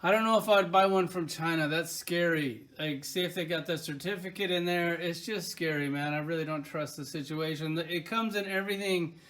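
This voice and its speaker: A man's voice: a little high, a little fast, few pauses, fairly bright tone. A man casually reviewing toys from his collection, enthusiastic and rambling, with lots of filler words. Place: at home by his collection shelves.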